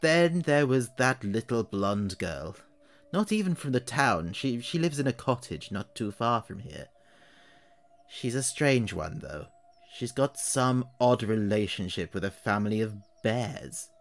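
Man playing old witch, aggrieved and wary, slow chatty complaint, mid-pitched voice. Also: lively intonation. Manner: slow, chatty complaining monologue rising to anger. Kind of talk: audio horror story reading.

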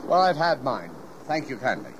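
An actor playing a jovial, courteous, independent-minded sea captain in a historical audio drama. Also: male voice, medium pitch, huge pitch swings, moderate pace, background noise. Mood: jovial, wry.

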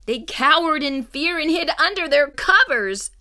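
A woman's voice: sits high, lively intonation, unhurried, bright, forceful tone. A woman reading a children's story aloud in a suspenseful, theatrical and emphatic way. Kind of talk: dramatic read-aloud narration.

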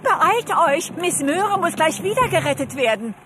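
A woman's voice: extremely high pitch, sing-song, normal speed, background noise. A woman voicing a kindly, busy grown-up animal lady in a children's cartoon audio play, with a helpful manner.

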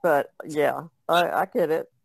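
A woman's voice: sits low, fairly even intonation, unhurried, gravelly, no echo, clean studio sound. An older American woman chatting casually on a podcast in a wry, plain-spoken way. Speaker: podcast panelist. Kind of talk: casual chat.

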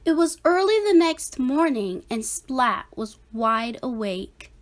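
Woman, storybook narrator, children's read-aloud, very slow, animated, high voice. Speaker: storybook reader.

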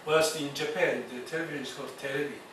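A man giving a university lecture, speaking haltingly with many fillers and restarts. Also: fairly high pitch, steady tone. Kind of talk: classroom lecture. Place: lecture hall. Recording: echoey lecture hall, distant mic, noisy.

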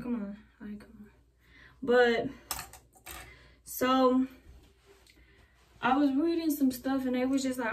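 A woman's voice: on the high side, background noise. Chatty young woman vlogging from a bedroom. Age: young adult. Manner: rambling, chatty, talks while styling her hair. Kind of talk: casual chat to camera. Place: small bedroom indoors. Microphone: camera a few feet away. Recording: voice a bit far from the mic.

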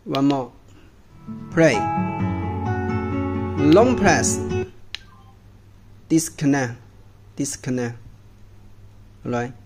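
Very slow-talking man with Chinese accent. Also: medium pitch, expressive, faint music behind. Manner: clipped short phrases. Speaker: product demonstrator. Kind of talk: terse step-by-step product demonstration.

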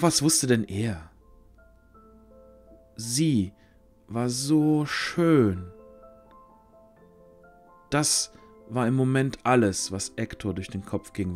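A man's voice: on the low side, a little mellow. A man narrating a scripted fantasy audio drama as its storyteller.

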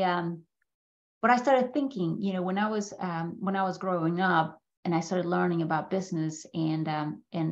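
A woman's voice: on the low side, vocal fry, dull audio. A middle-aged woman from Mexico gives an informal, conversational presentation about her own life, often checking in with listeners. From Mexico City, Mexico. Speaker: guest speaker at a business school. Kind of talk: informal slide presentation, personal story. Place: indoor room, on a video call. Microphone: video call microphone.

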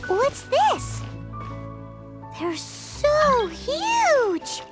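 A woman voicing a tiny, inquisitive, cutesy fairy companion in a video game, with a squeaky childlike voice. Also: huge pitch swings, very slow, full character voice. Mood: curious, surprised. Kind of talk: video game character acting. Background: game music.

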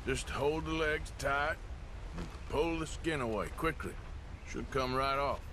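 An actor playing a rugged, patient Wild West outlaw in a video game, with an American accent and a plain, understated, folksy drawl. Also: male voice, medium pitch, unhurried, background noise, close to an everyday voice. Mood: calm, encouraging. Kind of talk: naturalistic video game acting.

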